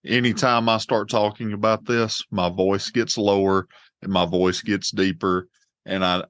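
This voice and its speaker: Deep-voiced plainspoken male podcast host. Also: little pitch movement, a little slow, fairly bright tone, no room sound, clean studio sound. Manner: plainspoken and earnest, speaks of faith. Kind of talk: casual conversation.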